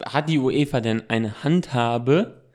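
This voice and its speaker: Rambling male podcast co-host, medium pitch. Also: slow, darkish tone. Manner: rambling, breaks off and restarts sentences. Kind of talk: casual opinionated chat.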